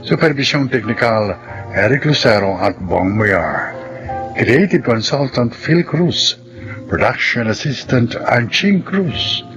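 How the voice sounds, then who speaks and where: A man's voice: mid-range voice, expressive, slow pace, muffled recording, over music. A man with a Filipino accent narrating as a radio drama announcer in a formal, theatrical scripted style.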